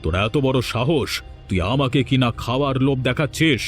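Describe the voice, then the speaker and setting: A man's voice: medium pitch, a little fast, faint music behind, close to an everyday voice. A man playing a stern, proud holy man who hands down curses in a moral-tale cartoon, speaking in solemn, scolding pronouncements. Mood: stern, haughty.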